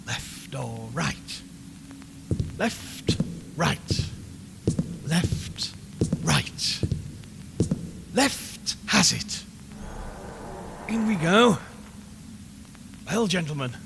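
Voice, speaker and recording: A radio reporter going mad in a haunted house for a horror audio play. His high voice lurches wildly up and down, dragging into slow, broken mutters with a gravelly creak. Background noise surrounds him. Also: exaggerated voice. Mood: eerie muttering. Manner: ranting, broken, muttering and giggling. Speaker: actor in an audio drama. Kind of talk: audio horror drama acting, live broadcast monologue.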